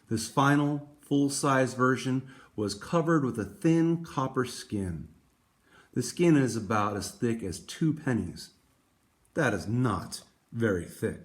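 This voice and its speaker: A man hosting a read-aloud for schoolchildren, speaking in a deliberate storytelling way to young listeners. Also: mid-range voice. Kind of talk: expressive children's picture book read-aloud.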